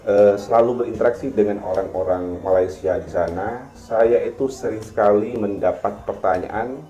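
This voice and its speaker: A man from Madura, Indonesia, telling his experiences as a restaurant worker in a measured, halting way with frequent filler sounds. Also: on the low side, warm tone. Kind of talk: casual storytelling to camera. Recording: echoey, dull-sounding replayed video with faint music.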